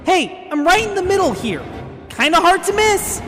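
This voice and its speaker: A performer voicing a plucky young sidekick, indignant and deadpan, in an audio superhero comedy. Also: extremely high pitch, moderate pace, full character voice. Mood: exasperated. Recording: reverberant hall sound with music.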